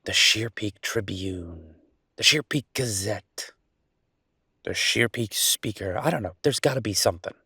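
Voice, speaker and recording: A man playing an earnest, down-to-earth chef boyfriend in an audio roleplay, talking casually and a little hesitantly in a husky voice. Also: lowish voice, lively intonation, a little slow, bright, forceful tone, no room sound, clean studio sound, full character voice. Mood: hopeful, musing. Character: enthusiastic down-to-earth chef boyfriend opening a mountain restaurant. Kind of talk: audio roleplay acting, casual one-sided conversation.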